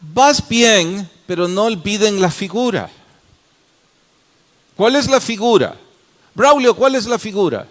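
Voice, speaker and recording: A man's voice: high-pitched, lively intonation, fairly bright tone. A man leading a Bible study as pastor, questioning the class and calling on students by name. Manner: slow, deliberate explanations.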